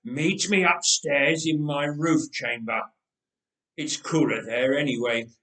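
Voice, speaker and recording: A man voicing an old, tired, heavy tyrant king in a storybook reading, wheezy, slow and imperious. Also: on the high side, fairly even intonation, a bit bright. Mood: imperious, weary. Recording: roomy, echoing indoor sound.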